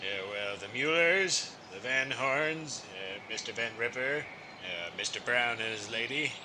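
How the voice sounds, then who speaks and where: An actor playing a teasing, hesitant rustic messenger with folksy phrasing, in an audio drama. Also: male voice, mid-range voice, animated, unhurried, background noise, close to an everyday voice.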